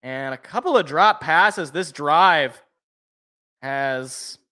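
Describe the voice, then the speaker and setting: A young man calling a football game play-by-play from a sports podcast, casual, with a slightly rough, sniffly voice from allergies. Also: on the high side, slow pace. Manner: excited calls, casual asides. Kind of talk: live play-by-play commentary.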